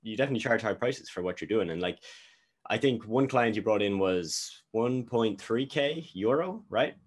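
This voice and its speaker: A man with a very low voice chatting casually as host of an interview. He talks in a loose, easygoing way, restarting phrases and leaning on fillers. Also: moderate pace. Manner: relaxed, repeats words and fillers.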